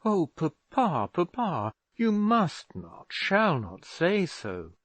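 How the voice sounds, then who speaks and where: A man voicing a young woman who tries to calm a family quarrel in a soft, pleading voice. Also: high voice, slow pace, dry recording, voice pushed up, full character voice. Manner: soft, pleading, at times whispering. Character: anxious young woman, a pleading peacemaking daughter.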